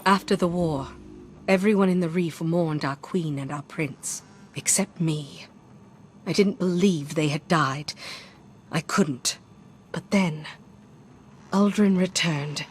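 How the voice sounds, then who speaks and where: A grave, grieving warrior woman narrating in a video game. Her voice is slightly low and slow, measured and resolute. Faint noise sits behind her. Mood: regretful. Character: solemn, grieving female royal guardian, resolute.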